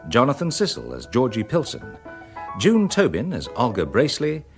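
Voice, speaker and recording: A man's voice: mid-range voice, huge pitch swings, moderate pace. A man with an English accent reading a scripted radio announcement as a continuity announcer. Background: piano music.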